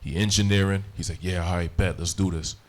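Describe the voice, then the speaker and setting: A man with a deep voice, a rapper talking casually in an interview, full of slang and repeated "you know what I'm saying". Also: steady tone, a little fast, darkish tone. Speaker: rapper, guest.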